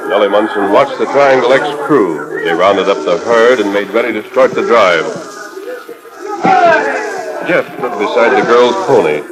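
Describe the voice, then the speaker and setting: A man's voice: fairly low pitch, lively intonation, moderate pace, low-quality recording. An American man narrating a 1940s radio western in a formal, dramatic storytelling style. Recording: old transcription disc radio recording.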